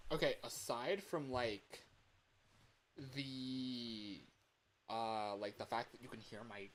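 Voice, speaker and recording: A man's voice: medium pitch, steady tone, slow, rough recording. A man chatting casually on a livestream, thinking aloud in a muttering way, as an amateur voice actor. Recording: hissy home recording, computer fan noise. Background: computer fan hum.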